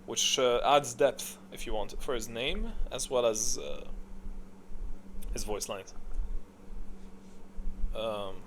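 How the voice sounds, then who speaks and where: A man's voice: medium pitch, takes it slowly, long pauses, a bit bright, slight background noise. A man giving casual, unscripted video commentary in a hesitant, rambling, stop-start way. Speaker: video host ranking game voice lines.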